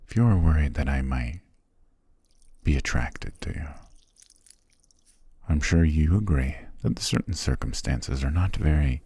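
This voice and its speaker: A man playing an ancient, imperious and possessive vampire patriarch in a fantasy ASMR roleplay, speaking in a formal, commanding, measured way. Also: mellow, dry recording, full character voice. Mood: cold, menacing. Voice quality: very deep, gravelly, breathy.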